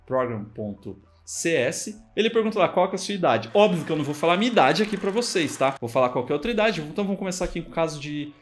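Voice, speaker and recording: A Brazilian-accented man with a slightly raised voice explains code at his desk mic in a relaxed, conversational way, often pausing to check the viewer is following. Soft music plays faintly underneath. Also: on the high side. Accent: Brazilian Portuguese. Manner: casual, chatty explaining with frequent check-ins. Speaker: programming instructor. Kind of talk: screen-recorded coding tutorial.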